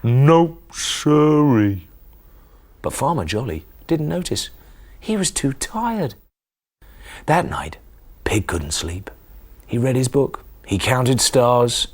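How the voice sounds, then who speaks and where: A man in his forties reading a children's bedtime story expressively, with dramatic, hushed emphasis. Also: mid-range voice, very animated, slow, long pauses, husky. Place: small TV studio kitchen set, indoors. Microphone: lapel mic.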